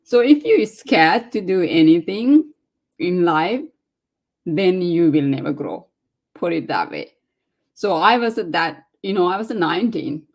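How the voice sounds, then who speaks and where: Low-voiced Sri Lankan woman, heartfelt. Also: animated, unhurried. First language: not English. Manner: heartfelt, non-native English, emphatic. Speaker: guest speaker. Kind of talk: emotional motivational testimony.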